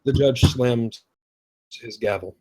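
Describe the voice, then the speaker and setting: A man reads out stage directions for a comedy script table read. His pace is unhurried and slow. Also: medium pitch, mellow, poor audio.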